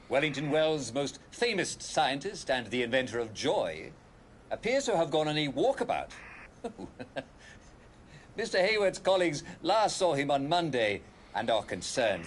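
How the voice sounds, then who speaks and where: An actor playing a chirpy British broadcast host in a retro announcer style, with forced cheer, chuckles and theatrical hesitations. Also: male voice, mid-range voice, a little hiss or hum. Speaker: broadcast presenter.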